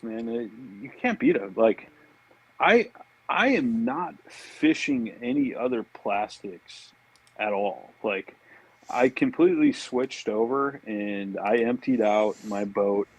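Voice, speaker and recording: A man's voice: mid-range voice, rough recording. A man with a laid-back, slangy way of talking, chatting casually about fishing as a podcast guest.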